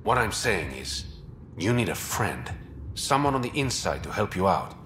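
An actor playing a roguish, smooth-talking young smuggler and spy in a video game, wry, teasing and self-assured. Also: male voice, fairly low pitch, very animated, moderate pace, fairly bright tone, echoey room, slight background noise. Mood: confiding. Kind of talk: video game character dialogue.

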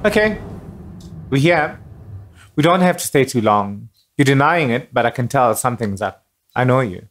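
A caring boyfriend, very lively. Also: male voice, medium pitch, moderate pace, long pauses. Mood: concerned. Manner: casual, caring, swearing freely. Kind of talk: naturalistic radio drama dialogue.

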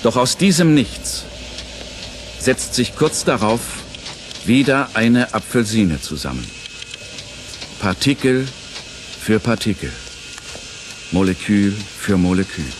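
A man's voice: on the low side, animated, takes it slowly, pauses often, noisy background. A man narrating a scripted audio play in a dramatic storytelling style.

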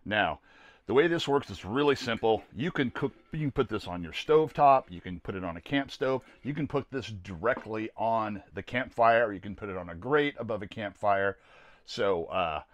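Casting: older American male vlog host, deepish voice, rambling everyday delivery, very little room sound. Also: expressive, moderate pace, dry recording. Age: older, around 60s. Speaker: host of a camping gear video series. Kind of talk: casual product review to camera. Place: small camper trailer interior.

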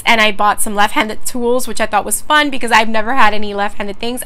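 A woman's voice: a little high, pushed and bright. A woman in her thirties from Puerto Rico, a native Spanish speaker, chatting casually to camera as a teacher and vlogger. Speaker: teacher and video creator. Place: small furnished room indoors.

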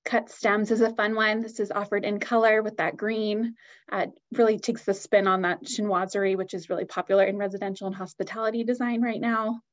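A woman's voice: mid-range voice, flat delivery, a little fast, highs cut off. A woman giving an upbeat, enthusiastic product presentation on a video call.

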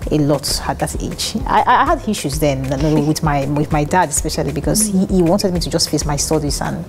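A woman in her thirties with a Nigerian accent, a musician guest talking in an animated way with frequent laughs and restarts, seated in a studio. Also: deep voice, fairly quick, background noise. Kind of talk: casual interview chat. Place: dark television studio set, seated in armchair. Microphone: lapel mic.

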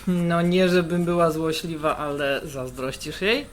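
A woman playing a brisk, teasing, worldly middle-aged sister in a naturalistic radio drama, blunt and bossy. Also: low voice. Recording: clear kitchen noise and clatter. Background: cutlery, stirring, pots and pans.

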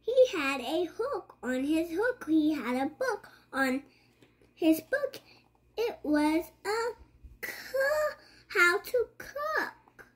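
A child reads a picture book aloud in a high voice. The pace is extremely slow, and the pitch moves up and down in a lively way. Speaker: child reader.

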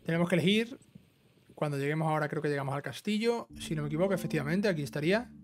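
High-pitched man guiding through a video game. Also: racing. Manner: instructional, often adding short check-in tags. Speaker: gaming YouTuber giving a trophy guide. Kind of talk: casual video game walkthrough commentary. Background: background music.

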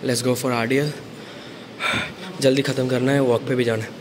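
A man's voice: medium pitch, level tone, moderate pace, background noise. A young man talking casually to his phone camera in a gym, reporting his sets and weights, a little out of breath. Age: young adult. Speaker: fitness vlogger. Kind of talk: casual workout vlog narration. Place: indoor gym. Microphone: handheld phone camera. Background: loud gym music.

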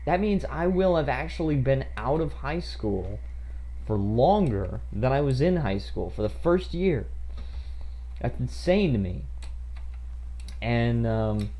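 A man's voice: medium pitch, mellow. A man in his twenties chatting casually while streaming a game, rambling with many fillers and restarts, on a headset mic in a small room at home. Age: 20s. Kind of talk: casual chat while gaming.

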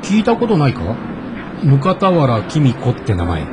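An actor playing a flustered, grumbling office worker in a naturalistic radio drama. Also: male voice, medium pitch, huge pitch swings, normal speed, background noise. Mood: puzzled, downbeat. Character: flustered, grumbling adult male office worker, once class leader.

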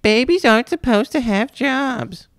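A man's voice: very high-pitched, expressive, a little slow, fairly bright tone, dry recording, studio quality, voice pushed up, exaggerated voice. A man voicing a pompous, condescending vice principal who thinks himself a genius, read for a children's storybook. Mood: mimicking squeal. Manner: condescending, mocking. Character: pompous, cruel male school vice principal, self-declared genius.

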